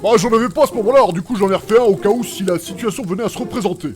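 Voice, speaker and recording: A man's voice: high voice, rapid-fire, close to an everyday voice. An actor voicing a chatty, scatterbrained male alchemist in an audio fiction, rambling and cheeky.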